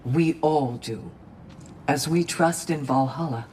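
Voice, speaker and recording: An actress playing a solemn, resolute, devoted warrior ally in a video game, speaking with deliberate weight. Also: female voice, deep voice, a little mellow, a little hiss or hum. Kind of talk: dramatic video game acting.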